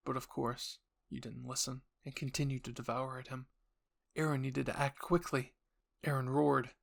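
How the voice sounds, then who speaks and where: A man's voice: mid-range voice, level tone, normal speed, vocal fry. A man reading dramatic scripted narration for a roleplay story, addressed to the listener in the second person.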